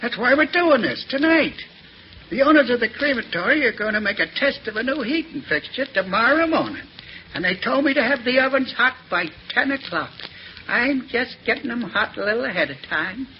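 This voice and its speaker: An actor playing a sinister old crematory worker in an old radio drama, folksy and coolly callous. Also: male voice, extremely high pitch, big pitch swings, a little fast. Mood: sly, businesslike. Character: sinister, matter-of-fact old crematory worker, a crooked accomplice. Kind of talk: 1940s radio acting. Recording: muffled, noisy old radio recording.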